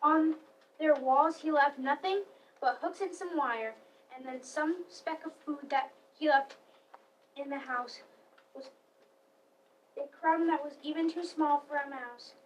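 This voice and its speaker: A woman's voice: sits high, measured pace, pauses often. A girl of about eleven or twelve reading a story aloud as a narrator in readers theater. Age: child, about 11 to 12. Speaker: student narrator. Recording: distant mic, noisy live stream.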